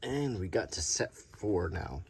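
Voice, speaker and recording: A man hosting an unscripted, ASMR-like show-and-tell in a halting, casual way. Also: sits low, lively intonation, creaky, darkish tone, slightly rough. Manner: halting, unscripted, hesitant delivery. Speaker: host.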